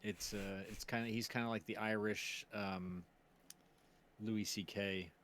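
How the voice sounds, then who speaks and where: A man hosting a casual livestream chat, telling stories in a rambling, halting way. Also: lowish voice, fairly even intonation, a bit bright, a little hiss or hum. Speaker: stream host and series producer.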